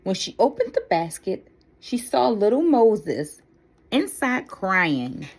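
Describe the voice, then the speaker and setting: Woman reading aloud slowly, very animated pitch. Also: husky, poor audio. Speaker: storyteller for a children's Bible story time. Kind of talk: read-aloud children's Bible story.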